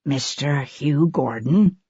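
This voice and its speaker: A narrator doing Sebastian as a meek, hesitant gentleman. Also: medium pitch, slow, husky, no echo, pitched below the performer's own voice. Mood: meek, diffident. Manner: hesitant, questioning, apologetic. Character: ex-soldier man posing as a timid, humble gentleman. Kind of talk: audiobook character voice. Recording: slightly dull, dry lo-fi recording.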